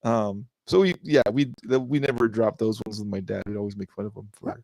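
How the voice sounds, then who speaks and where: A man's voice: lowish voice, brisk, gravelly, darkish tone, no echo, low-quality recording. A man from Southern California co-hosting a podcast, chatting casually and jokingly, with many fillers and restarts. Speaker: podcast co-host. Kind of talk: casual chat.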